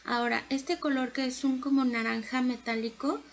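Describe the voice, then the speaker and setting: A woman's voice: sits high, steady tone, normal speed. A woman, giving a casual, instructive makeup tutorial as a beauty vlogger. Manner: chatty, instructive. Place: bedroom at home.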